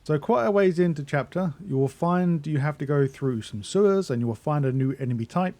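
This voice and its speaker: A man's voice: a little high, animated, a little fast, a little mellow. A man giving a casual video game walkthrough as a guide narrator.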